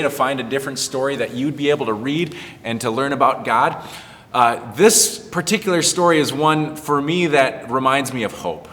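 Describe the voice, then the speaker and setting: A man's voice: medium pitch, fairly bright tone. A man speaking as a pastor in a casual children's storytime, explaining things simply. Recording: reverberant, echoing room.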